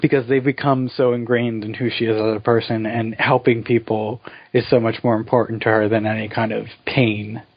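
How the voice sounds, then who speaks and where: A man's voice: on the low side, level tone, a little fast, few pauses, slightly rough, dry recording. Man co-hosting a lo-fi podcast. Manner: thoughtful, leads the show's segments. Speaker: podcast co-host. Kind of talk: casual chat. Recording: dull lo-fi podcast audio.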